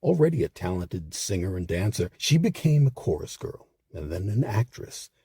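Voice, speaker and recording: A man narrates scripted history in a deep, mellow voice with a heavy rasp. He phrases deliberately and dramatically, pausing between short clauses as a host telling a serious story. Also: big pitch swings, dry recording, clean studio sound.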